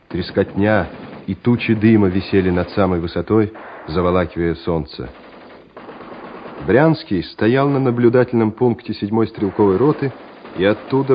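Low-voiced male war story narrator. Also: a little hiss or hum. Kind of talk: dramatic scripted narration of war radio play. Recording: dull radio recording with music behind.

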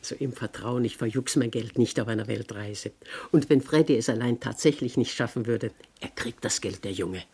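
An actress playing a strong-willed, shrewd seventy-year-old aunt in a 1960s radio play, brisk and admonishing. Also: female voice, extremely low pitch, warm tone, husky, heavily acted. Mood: frank, measured. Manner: brisk, admonishing, matter-of-fact. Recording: 1960s radio recording with background noise.

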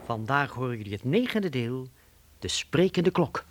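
A man's voice: medium pitch, big pitch swings, normal speed, heavy background noise. A man giving a scripted announcement as the announcer of a children's radio play.

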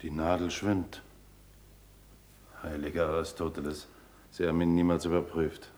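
An actor playing a blunt, grumbling, plain-spoken lens grinder in a German radio play. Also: male voice, low voice, little pitch movement, normal speed, darkish tone, reverberant, distant mic, lightly acted. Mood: insistent.

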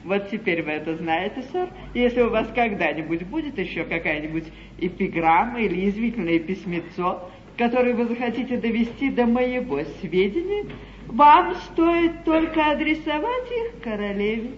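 A woman's voice: fairly high pitch, expressive, normal speed, lightly acted. A woman plays a haughty, imperious duchess of period stage comedy. She speaks with grand, icy condescension. The old theatre recording is echoey and muffled. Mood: cold, haughty. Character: haughty, imperious English duchess at royal court. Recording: muffled, echoey 1953 theatre broadcast, faint noise.

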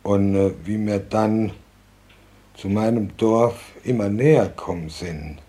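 An older man playing a war veteran who haltingly recalls his youth, searching for words. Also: lowish voice, dark tone. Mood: reminiscing, halting. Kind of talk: halting spoken recollection.

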